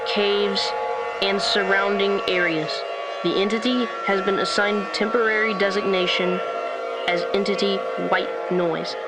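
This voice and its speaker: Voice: medium pitch, low-quality recording. A synthetic-sounding announcer narrating a scripted horror emergency bulletin in a formal, detached, clinical manner.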